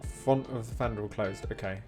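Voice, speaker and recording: A man's voice: fairly low pitch, normal speed, a little mellow, faint music behind. A man live streaming a game, chatting casually in a rambling, jokey way. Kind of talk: casual gaming chat.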